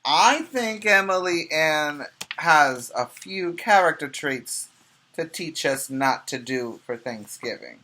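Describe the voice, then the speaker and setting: A male preschool teacher reads a picture book aloud in a bright, forward voice. He takes his time, stressing key words for young listeners. His delivery is expressive and explanatory, with questions put to the children. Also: on the high side, slow pace.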